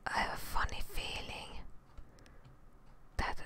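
Young woman whispering asmr game commentary, close mic, breathy, muttering to herself while playing. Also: slow pace, bright, forceful tone. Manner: muttering short reactions to herself. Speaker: gaming streamer. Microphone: close studio mic, with headset worn.

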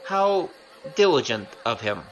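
Woman playing a cheeky young man, wildly animated. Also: rumbling low, unhurried, soft background music, exaggerated voice, rough recording. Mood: sarcastic. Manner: wry, flippant. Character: wry, cheeky young male rogue in trouble. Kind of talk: audio drama acting.

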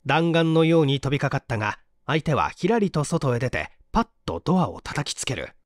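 A man's voice: fairly high pitch, very animated, a little fast, dry recording, clean studio sound. A man reading a mystery story aloud as scripted, dramatic narration. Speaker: audiobook narrator.

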